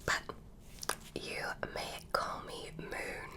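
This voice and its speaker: Woman whispering as polite alien examiner. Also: extremely slow. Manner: curious, polite, clinical. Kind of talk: ASMR roleplay.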